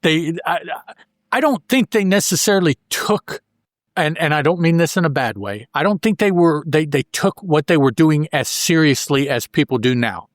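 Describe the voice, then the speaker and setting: Halting, chatty man in a dry recording. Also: on the high side, lively intonation, no room sound. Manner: halting, stammering, rephrasing as he goes. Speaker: podcast co-host. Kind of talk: casual chat.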